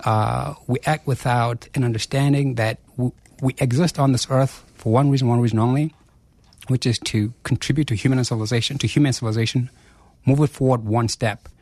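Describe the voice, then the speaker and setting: Man, forceful, rhetorical, repeats phrases, dry close sound. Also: mid-range voice, steady tone, brisk, no echo. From South Africa. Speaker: chief investment officer, guest. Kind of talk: radio interview.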